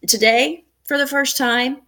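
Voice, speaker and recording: A woman's voice: high-pitched, steady tone, measured pace, sharp tone, a little hiss or hum. A middle-aged woman, an educator, reading aloud expressively and speaking to young children. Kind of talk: read-aloud storytelling for children.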